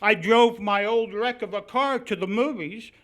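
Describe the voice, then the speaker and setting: A man's voice: high voice, moderate pace, fairly bright tone. A man playing a meek, doleful, henpecked postman in live old-time radio comedy style. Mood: mournful deadpan.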